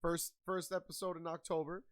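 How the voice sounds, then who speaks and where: Congested guy hosting a chill podcast, kinda high voice, hypes everything up with slang. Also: level tone, brisk. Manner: hyped, playful, slangy delivery. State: congested, slightly nasal from a sinus infection. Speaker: podcast host. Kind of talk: casual podcast chat.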